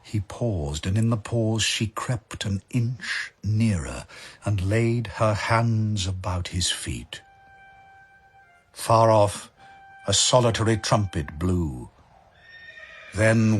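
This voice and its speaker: A man's voice: lowish voice, slightly rough. A man narrating a verse drama as a scripted storyteller.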